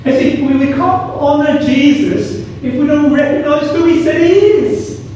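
A man preaches in a high-pitched voice with a Birmingham accent. He drives points home with repeated phrases and questions thrown out to the congregation. The sound is heavily echoing and picked up from a distance. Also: unhurried, a little mellow. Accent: Birmingham English. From Birmingham, England. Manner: emphatic, repeats phrases, asks rhetorical questions. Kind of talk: sermon to a congregation. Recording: very echoey room, distant mic, clear noise.

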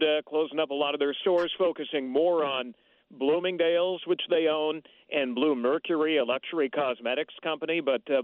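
A man's voice: a little high, no echo. A man calling in as a guest reporter, steadily explaining retail news with frequent "uh" fillers. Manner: steady, thorough, with many "uh" fillers. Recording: thin phone line.

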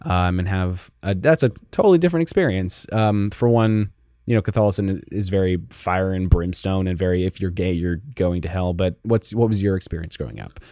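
A young man from Pennsylvania co-hosting a casual podcast, animated and laughing, with lots of fillers. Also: low voice, fairly quick, no echo, clean studio sound. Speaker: podcast co-host.

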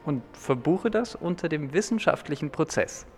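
A man's voice: fairly high pitch, lively intonation, normal speed, darkish tone, long reverb tail, faint music behind. An Austrian man co-hosting a podcast, talking through a book review in a thoughtful, slightly hesitant conversational way.

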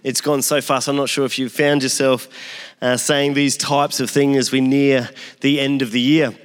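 A man's voice: mid-range voice, little pitch movement, normal speed, clean tone. A man in his forties giving a sermon as pastor, opening with prayer and telling self-deprecating stories in a conversational way. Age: 40s. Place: church hall. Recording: long hall reverb.

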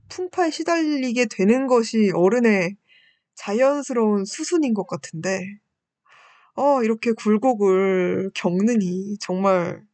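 A woman's voice: on the high side, a little mellow, dry recording. A woman in her twenties hosting a show, talking reflectively and politely in a candid, self-deprecating way as she shares personal thoughts. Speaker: radio-style show host and university student. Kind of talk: personal reflective talk, reading listener messages.

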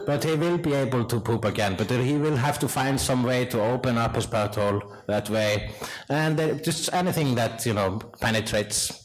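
A man's voice: medium pitch, moderate pace, hardly any pauses, poor audio. Rambling joking podcast host, man. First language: Icelandic. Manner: rambling, joking, laughing storyteller. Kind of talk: live podcast chat with audience questions. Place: live event stage.

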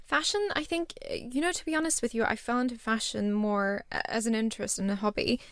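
A woman in her thirties who grew up in Singapore talks in a relaxed, chatty way. She pokes fun at herself. Her voice has a gravelly, creaky texture, and the recording is close and dry. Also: a little high, a little fast, no room sound. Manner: chatty and self-deprecating, often laughs at herself. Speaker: startup founder, guest. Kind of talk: casual interview chat.

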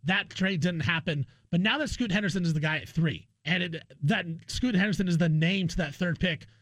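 A man's voice: high voice, no room sound. A man hosting a sports talk radio show, speaking in an opinionated, conversational way. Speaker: guest radio host.

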